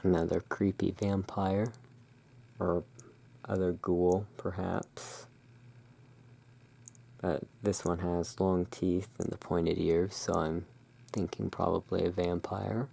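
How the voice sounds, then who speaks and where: Low creaky asmr roleplay host, super even tone, hushed n musing, describing art. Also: takes it slowly, long pauses. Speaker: host of a roleplay as a curiosity emporium owner.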